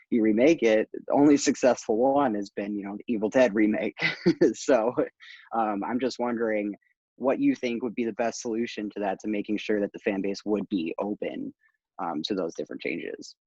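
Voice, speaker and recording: Low, husky young man, dull sound. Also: a little mellow, no echo. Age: 20s. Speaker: student audience member asking a question. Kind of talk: casual, thoughtful question. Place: small room at home, indoors. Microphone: video call. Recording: dry, dull video-call audio.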